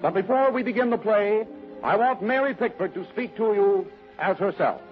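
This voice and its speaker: A man's voice: high-pitched, moderate pace, phone-quality sound, soft background music. A man announcing formally in the style of 1930s American radio, on an old broadcast recording.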